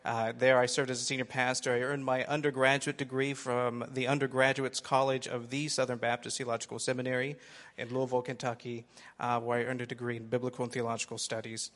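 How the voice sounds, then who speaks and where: A man's voice: mid-range voice, flat delivery, quick pace, fairly bright tone. Earnest man from Ohio in a hall. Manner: earnest, grateful, with restarts. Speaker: pastoral candidate. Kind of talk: church introduction speech. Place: large church sanctuary. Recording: long hall reverb.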